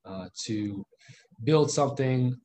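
A man's voice: mid-range voice, slow, mellow, slight background noise. A man in his twenties, a guest in a casual interview, earnest and loose with fillers. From Southern California. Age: 20s. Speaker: guest, real estate investor.

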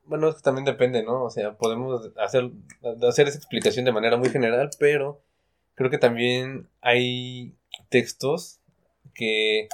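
A man co-hosting a casual literary discussion in a tentative, halting, conversational way. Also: medium pitch. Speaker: podcast co-host.

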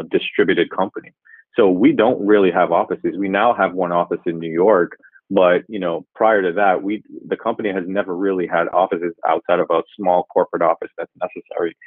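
Deep-voiced man on a tinny line. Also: no echo. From Jamaica. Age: 40s. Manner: measured and thoughtful, often pausing to rephrase. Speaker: guest, revenue executive. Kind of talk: reflective interview answers. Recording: tinny phone-like line, dry.

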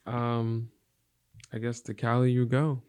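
A woman co-host with an extremely low voice chats in a laid-back way. She drops in short, affirming replies. Her tone stays low-key and casual. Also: flat delivery, slow pace, darkish tone, smooth. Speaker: podcast co-host. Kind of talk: casual podcast chat.

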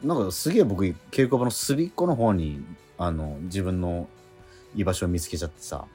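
A middle-aged man hosting a radio show, chatting in a relaxed, rambling, self-deprecating way. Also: deep voice, normal speed, faint music behind. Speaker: radio host. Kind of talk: casual radio chat.

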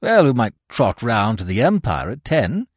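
A man voicing a witty, idle young gentleman with a flippant, affected, teasing manner in a storybook reading. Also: medium pitch, very animated, moderate pace, breathy edge, no room sound, studio quality. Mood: breezy.

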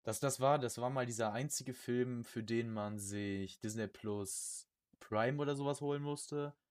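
A young man co-hosting a casual podcast chat, slangy and jokey, often repeating words and phrases in quick bursts. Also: mid-range voice. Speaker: podcast co-host.

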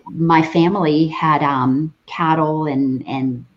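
Low-voiced woman from the Dominican Republic. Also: steady tone, takes it slowly, highs cut off. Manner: hesitant, repeats words as she gathers thoughts. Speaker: host. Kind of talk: interview conversation.

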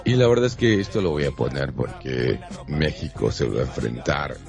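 A man's voice: extremely low pitch, animated, normal speed, gravelly, music in the background. A man with a northern Mexican accent co-hosting a casual radio chat in a playful, teasing, rambling way. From Monterrey, Mexico. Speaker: radio show co-host.

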